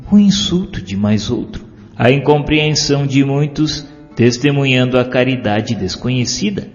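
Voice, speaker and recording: A Brazilian man reads an inspirational story with solemn, measured phrasing. His voice sits at an average male pitch. The recording is dull and lo-fi, washed in long reverb. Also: animated. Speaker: audiobook narrator. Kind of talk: scripted inspirational story narration. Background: music.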